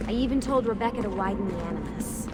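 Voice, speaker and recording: An actress playing a capable young adventurer in a video game, teasing and deadpan. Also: female voice, mid-range voice, fast talker, very noisy, close to an everyday voice. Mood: dry, teasing. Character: dry-witted, capable young female adventurer. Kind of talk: video game dialogue acting. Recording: game music behind the dialogue.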